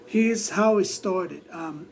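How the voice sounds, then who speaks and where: A man with a Brazilian accent and a voice set high for a man talks straight to his viewers. He rambles and halts, stumbling over restarts as he circles back to his points in a loose, casual monologue. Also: vocal fry. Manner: rambling, halting, many restarts. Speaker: vlogger.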